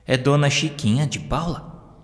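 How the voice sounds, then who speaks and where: A man voicing a gentle, patient male medium in an audio story, speaking soothingly and reassuringly. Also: medium pitch, measured pace, big-room sound, pitched above the performer's own voice, natural voice. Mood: calm.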